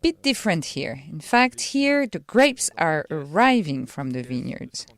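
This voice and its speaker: A woman speaking an interviewer's questions as a voice-over, in a brisk, questioning way. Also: low-pitched, sing-song.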